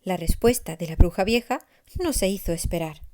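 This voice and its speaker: A woman narrating a scripted children's story expressively, with deliberate emphasis. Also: on the low side, animated, a little mellow. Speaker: author narrating her own story.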